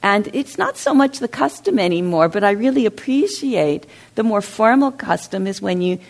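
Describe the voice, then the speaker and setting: Woman teaching meditation, a bit of vocal fry, asks the room lots of questions. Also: mid-range voice, lively intonation, moderate pace. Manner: reflective, asks the audience questions. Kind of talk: dharma talk. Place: meditation center hall.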